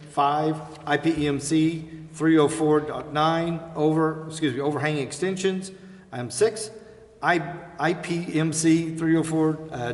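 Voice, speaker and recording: An American man formally reading out agenda items as chair of a public meeting in a council chamber. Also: fairly high pitch. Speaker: meeting chair, presiding official. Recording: echoey council chamber, clear background noise.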